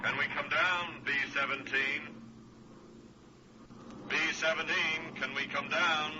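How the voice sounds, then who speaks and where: An eager rescue pilot calls over a radio. His voice is slightly high and clipped, in 1940s radio play manner. The sound is muffled and noisy. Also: steady tone, slow pace. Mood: hopeful. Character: eager, daring Coast Guard pilot calling by radio.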